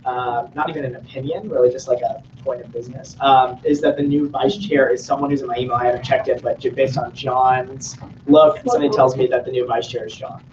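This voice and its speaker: A man's voice: mid-range voice, steady tone, a little fast. A young man, a student senate officer, making loose, joking announcements full of fillers at a meeting. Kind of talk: casual meeting chat and announcements. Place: meeting room. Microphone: room mic from afar. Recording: distant, roomy, dull, noisy.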